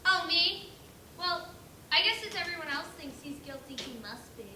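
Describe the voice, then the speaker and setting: A woman's voice: very high voice, expressive, normal speed, pushed and bright, heavily acted. A female amateur actor playing a clueless, easily swayed pig juror on stage, naive and blunt. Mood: agreeable. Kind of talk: amateur school stage play. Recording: echoey noisy room, distant mic.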